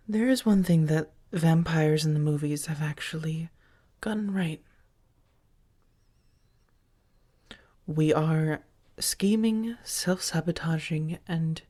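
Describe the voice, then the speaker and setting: Casting: woman to play protective female vampire roommate, low-pitched, soft and breathy, halting and earnest, intimate ASMR roleplay. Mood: grave, confiding. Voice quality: soft, breathy, often dropping to a whisper.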